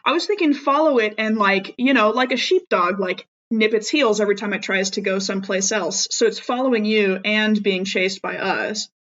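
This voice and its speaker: A woman's voice: medium pitch, moderate pace, hardly any pauses, fairly bright tone. A woman talking casually as a player in a tabletop game. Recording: dull lo-fi mic, faint noise.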